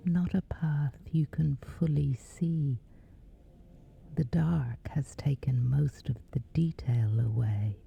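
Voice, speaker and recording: Very slow, whispery low-voiced woman. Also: muffled recording. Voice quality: whispery, breathy. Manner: slow, hushed, pausing between short phrases. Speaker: sleep meditation guide. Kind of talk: guided sleep meditation, soft and breathy. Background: background music.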